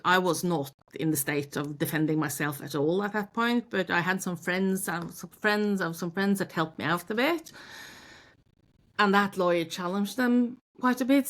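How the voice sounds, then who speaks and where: A Norwegian woman with a Norwegian accent, a knitwear designer being interviewed, telling her story with many restarts and self-corrections. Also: fairly low pitch. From Norway. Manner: frequent restarts and self-corrections, animated when quoting.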